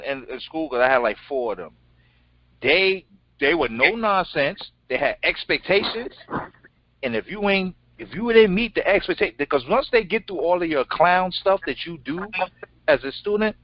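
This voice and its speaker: Deep-voiced man, blunt casual talk, swears, middle-aged, muffled recording. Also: normal speed, no echo. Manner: blunt, animated, swears freely. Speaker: co-host. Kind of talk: casual interview chat. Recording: dull lo-fi sound.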